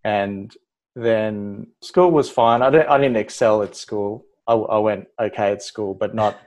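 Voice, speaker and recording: A man in his thirties with an Australian accent, a guest talking in a relaxed, rambling way with many "like" fillers. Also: fairly low pitch, unhurried. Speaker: guest, ophthalmology registrar. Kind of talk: casual interview chat.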